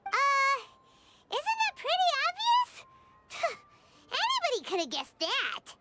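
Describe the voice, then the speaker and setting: A woman voicing a tiny, childlike, excitable fairy companion with a squeaky voice in a video game cutscene. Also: takes it slowly, noisy background, exaggerated voice. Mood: cheeky. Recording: music behind.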